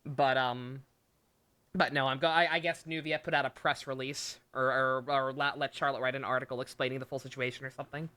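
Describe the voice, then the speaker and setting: A woman's voice: very deep voice, little pitch movement, brisk, a bit bright. A woman doing casual, joking live game commentary, sweary and sarcastic. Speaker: game streamer.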